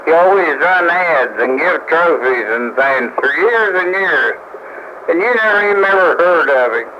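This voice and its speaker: A man with a slightly high voice argues in a mocking, challenging way, needling the other talker. The sound is a dull, band-limited CB radio tape with some noise behind it. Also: animated, measured pace. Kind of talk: casual argumentative CB radio banter.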